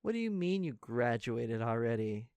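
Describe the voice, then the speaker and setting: A man playing an earnest, caring high school senior in an intimate audio roleplay, speaking casually and haltingly to a best friend. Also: big pitch swings, a little mellow, smooth, dry recording. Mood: serious, bewildered. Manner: casual, halting, stammering, conversational.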